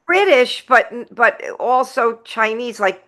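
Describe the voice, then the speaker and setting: Bright-voiced woman with a New York accent. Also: a little high, lively intonation, takes it slowly. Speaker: podcast co-host. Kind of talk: casual interview chat.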